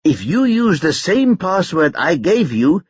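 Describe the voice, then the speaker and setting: A man's voice: high-pitched, sing-song, a little slow, husky, no room sound, highs cut off, voice pushed up, exaggerated voice. A man voicing a cocky, crude young male hustler who taunts and swears. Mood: taunting.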